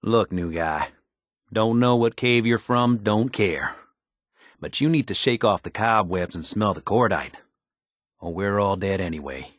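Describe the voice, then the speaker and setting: Folksy, animated tinkerer, very dry recording. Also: male voice, lowish voice, unhurried, no room sound. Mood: gruff, blunt. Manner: folksy, colloquial, drawling slang. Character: easygoing, wry handyman tinkerer, a weary wasteland survivor. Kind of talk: video game character dialogue.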